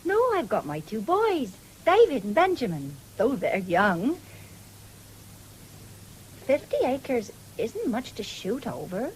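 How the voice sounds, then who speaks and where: A woman's voice: on the high side, big pitch swings, takes it slowly, a little mellow. An actress playing a proud, firm, plain-spoken English farm wife and mother in a radio drama. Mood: polite, businesslike. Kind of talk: 1970s British radio drama acting. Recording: noisy old radio broadcast recording.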